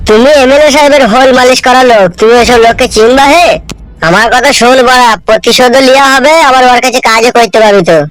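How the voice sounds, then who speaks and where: A man playing a rough, cocky cartoon thug sidekick, coarse and teasing. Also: very high-pitched, quick pace, fairly bright tone, dry recording, rough recording. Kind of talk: comedy cartoon voice-over. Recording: music under the voice.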